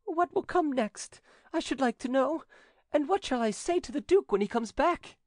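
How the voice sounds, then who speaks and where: A frantic young French damsel with a high, breathless voice, as a male narrator puts her on. Her pitch leaps and swoops all over, high above a man's usual range. The recording is close and dry, with no room sound. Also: sing-song, a little slow, darkish tone, dry recording, exaggerated voice. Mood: pleading. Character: excitable young French damsel, easily frightened. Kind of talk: audiobook reading.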